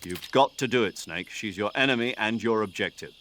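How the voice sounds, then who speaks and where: A man's voice: on the low side, brisk, a bit bright, close to an everyday voice. A man playing an authoritative military commander in video game radio dialogue, firm, articulate and earnest. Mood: sympathetic, firm. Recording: faint night insect noise behind.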